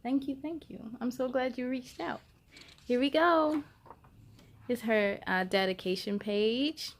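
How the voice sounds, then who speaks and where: Lady doing kids story time, high voice, really slow n drawn out, talks right to the kids. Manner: animated, drawn-out, talks directly to young listeners. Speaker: children's story time host and reader. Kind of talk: expressive read-aloud for children.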